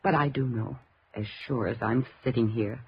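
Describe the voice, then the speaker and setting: Frightened daughter, old radio drama: American, very husky and breathy, halting, pleading; muffled vintage recording. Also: female voice, rumbling low, exaggerated voice. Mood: insistent. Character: frightened, insistent daughter suspecting her stepmother of murder. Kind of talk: 1950s radio drama acting.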